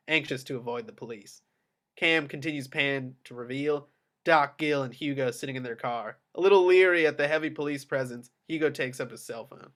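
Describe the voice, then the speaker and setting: A man's voice: medium pitch, fairly bright tone. A man reading screenplay action lines as the narrator at a table read. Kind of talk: screenplay stage-direction narration.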